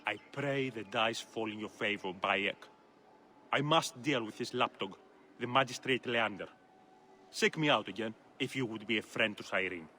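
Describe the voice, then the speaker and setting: A weary magistrate, dry and measured, with a bright, crisp tone. Faint outdoor noise sits behind. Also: male voice, fairly low pitch. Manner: wry, measured, world-weary.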